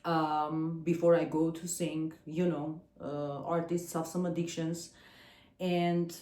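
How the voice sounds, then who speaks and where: A woman in her forties from Armenia, with an Armenian accent and Armenian as her first language, talking to the camera in a personal vlog, pausing often and searching for her words. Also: low voice, level tone, warm tone, roomy sound. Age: 40s. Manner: hesitant, searching for words, frequent fillers. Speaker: singer and channel host.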